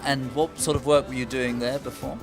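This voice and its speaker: A man's voice: mid-range voice, little pitch movement, a little fast, soft background music. A man presenting a documentary, asking market traders short questions outdoors. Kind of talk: friendly street interviews.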